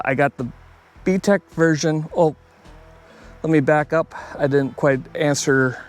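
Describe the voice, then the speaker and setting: A man's voice: mid-range voice, clear, resonant tone. A man talking casually and off the cuff as a hobbyist video host reviewing gear, plainspoken and conversational. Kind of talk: casual unscripted product review.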